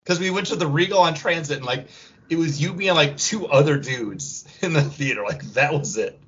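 A man with a husky voice co-hosting a podcast in loose, casual chat. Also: on the high side, animated, brisk, fairly bright tone, highs cut off. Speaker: podcast co-host.

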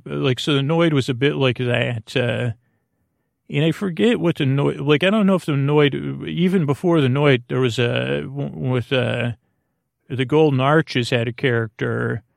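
Creaky-voiced man, halting and meandering. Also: mid-range voice, no room sound, studio quality. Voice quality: creaky, gravelly. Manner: halting, digressive, frequent false starts and repetitions; gently silly, goofy. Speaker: podcast host. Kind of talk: rambling, meandering solo bedtime podcast monologue.

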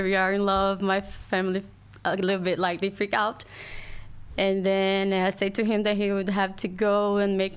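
Podcast guest, woman, Brazilian accent, native Portuguese speaker, smooth clear voice, mid pitch, casual and a little halting. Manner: halting non-native English phrasing. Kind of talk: casual chat.

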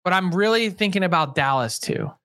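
A man co-hosting a casual sports podcast, thinking out loud and weighing his picks. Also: high-pitched, sing-song, a little fast, no echo, clean studio sound. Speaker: podcast co-host.

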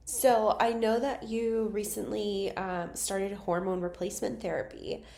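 Gravelly, mellow-voiced woman host. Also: medium pitch, level tone, unhurried. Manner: friendly, encouraging interviewer asking layered questions. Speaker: podcast host. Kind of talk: casual interview chat.

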